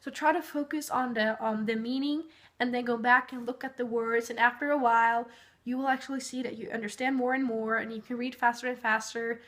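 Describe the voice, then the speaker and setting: A woman's voice: on the high side, fairly even intonation, fairly quick. A young woman from Norway, a native Norwegian speaker, giving casual tips in a chatty vlog full of fillers. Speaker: vlogger.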